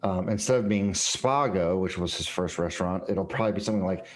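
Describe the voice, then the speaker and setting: A young man with a fairly low voice, talking casually about his game character. He hesitates often, full of fillers and restarts. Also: fairly quick. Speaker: player.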